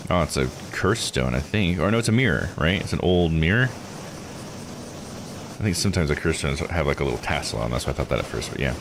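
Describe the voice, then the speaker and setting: A man's voice: very deep voice, lively intonation, fairly quick, vocal fry, background noise. A man giving casual, laid-back game commentary as a streamer, musing aloud as he plays. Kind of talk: casual let's-play commentary.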